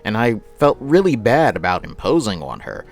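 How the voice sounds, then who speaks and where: A man hosting a live game stream, chatting with viewers and reading visual novel narration aloud in an animated, chatty way. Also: fairly low pitch, moderate pace, gravelly, faint music behind.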